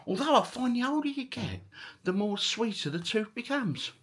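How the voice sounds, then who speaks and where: A man's voice: very high-pitched, very animated, moderate pace, fairly bright tone, slightly rough. A middle-aged man chatting casually to his vlog viewers, rambling along in British slang with chirpy greetings. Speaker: vlogger and retro gaming channel host.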